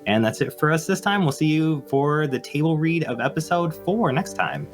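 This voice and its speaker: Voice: mid-range voice, expressive, normal speed, faint music behind. A host giving a sign-off, thanking supporters and saying goodbye. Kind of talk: show sign-off with patron thanks.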